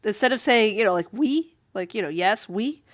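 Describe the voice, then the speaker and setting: Female art streamer, mid-pitched and clear, rambling informally with an amused, animated manner, very dry room. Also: moderate pace, dry recording. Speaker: comic artist streaming while drawing. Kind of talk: casual rambling livestream chat.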